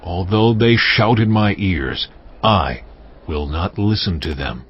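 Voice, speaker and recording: A grave, deep-voiced God. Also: male voice, breathy edge, exaggerated voice. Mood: stern. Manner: slow, solemn and grave pronouncements. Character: stern, commanding voice of God, ancient male deity. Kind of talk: dramatized scripture reading. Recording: dull lo-fi audio with music bed. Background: music.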